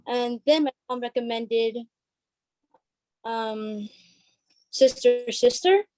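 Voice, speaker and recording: A woman's voice: on the high side, slow pace, darkish tone, poor audio. A young woman chatting casually as an interview guest, tentative and sometimes trailing off. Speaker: stage actress, interview guest. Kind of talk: casual chat.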